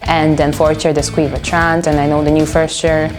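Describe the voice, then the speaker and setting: Low female voice, early twenties, earnest, casual interview talk, clear background music. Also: level tone, moderate pace. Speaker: music student and band musician being interviewed.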